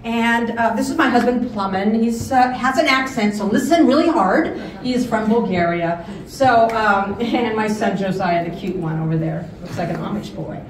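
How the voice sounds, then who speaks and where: A woman's voice: mid-range voice, normal speed, hardly any pauses, crowd noise. A middle-aged woman speaking at a memorial with a handheld mic, chatty and rambling. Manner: warm, chatty, rambling with fillers. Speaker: family host of memorial. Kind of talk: memorial speech. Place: large event hall, indoors. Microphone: handheld mic through room speakers. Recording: far-off echoey hall, faint noise.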